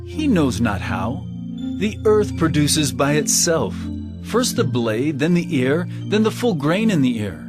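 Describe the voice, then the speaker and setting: An actor playing an earnest male preacher teaching in parables in an audio Bible drama, with emphatic, deliberate oratory. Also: on the high side, sing-song, music in the background.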